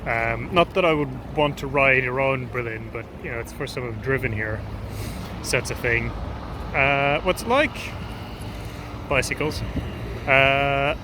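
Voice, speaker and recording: A man's voice: mid-range voice, measured pace, long pauses. A man with an Irish accent rambling hesitantly through a casual travel vlog outdoors on a city street. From Ireland. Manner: rambling, hesitant, many ums. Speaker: vlogger. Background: urban street noise.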